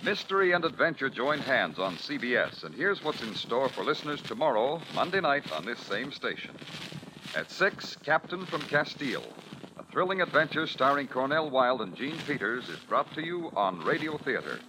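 A man's voice: mid-range voice, big pitch swings, moderate pace. A man announcing on 1940s radio, reading a scripted program promo. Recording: noisy old radio broadcast.

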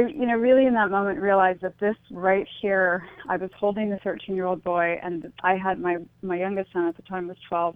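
A middle-aged woman guest giving a personal account in an interview, speaking in a reflective, halting way. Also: on the low side, dry recording, narrow, tinny audio.

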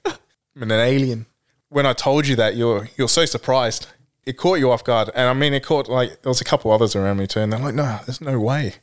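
A man's voice: medium pitch, a little fast. Young Australian man chatting casually. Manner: relaxed storytelling with many fillers. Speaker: podcast guest. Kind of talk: casual podcast chat.

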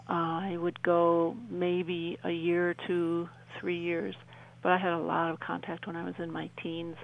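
A middle-aged woman explaining earnestly as a radio interview guest, halting and repeating phrases for emphasis. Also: on the low side, vocal fry, a little hiss or hum, low-quality recording.

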